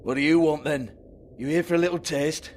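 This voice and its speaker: An actor playing a sleazy, foul-mouthed strip club owner with a British accent in a video game, mocking and volatile. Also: male voice, a little high, fairly quick, a little hiss or hum, natural voice. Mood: sly, insinuating. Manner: sneering, taunting, swearing.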